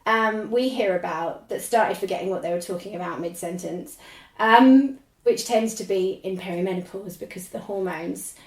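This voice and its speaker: A woman in her forties with a squeaky speaking voice, chatting as a podcast guest and rambling with lots of laughter. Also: normal speed, reverberant, distant mic, poor audio. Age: 40s. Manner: rambling, animated, laughs often. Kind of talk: casual chat.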